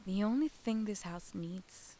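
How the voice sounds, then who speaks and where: A woman's voice: medium pitch, a little slow, dark tone, natural voice. An actress playing a young wife in an audio drama, tender and hesitant in her delivery. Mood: musing.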